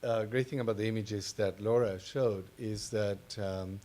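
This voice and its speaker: A man's voice: lowish voice, steady tone, measured pace, mellow. A man with an Argentine accent, a native Spanish speaker, speaking hesitantly and informally as a panelist. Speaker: panelist, art advisor. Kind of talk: informal panel talk, personal introduction.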